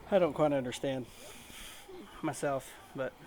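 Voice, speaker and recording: A man giving informal, unscripted, somewhat halting commentary as he hosts a let's-play video. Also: mid-range voice, darkish tone. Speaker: gaming video host. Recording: faint noise in home recording.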